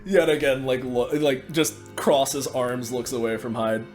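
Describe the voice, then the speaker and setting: A man's voice: mid-range voice, unhurried, over music. A man playing a tabletop roleplaying game, describing his character in a rambling, colloquial way.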